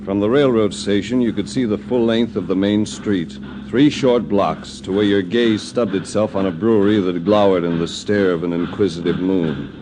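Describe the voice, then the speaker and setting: An actor playing a cultured, cool-headed investigator, narrating in a measured, wry 1940s radio detective style. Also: male voice, fairly low pitch, natural voice. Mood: hard-boiled narration. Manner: hard-boiled, measured, wry. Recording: noisy old radio recording with clicks.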